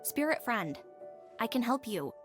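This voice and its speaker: An actress voicing a quiet, studious and caring young rabbit-like witch in a video game. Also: fairly high pitch, big pitch swings, a little slow, soft background music. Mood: friendly, eager. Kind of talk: video game character voice lines.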